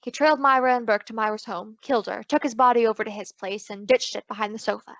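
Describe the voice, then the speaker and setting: Confident, playful female private eye, played by an actress for old-time radio; highish voice with a gravelly fry. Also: fairly even intonation, quick pace, dull audio, music in the background, lightly acted. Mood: matter-of-fact. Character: confident, playful woman private detective narrating her case. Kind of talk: old-time radio detective drama acting.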